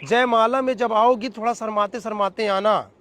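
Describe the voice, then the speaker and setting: A man playing an eager, insecure young bridegroom in a comedy phone-call skit, sweet-talking haltingly. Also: high voice, steady tone, a bit bright. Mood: fussy, pleading. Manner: hesitant, repetitive, coaxing.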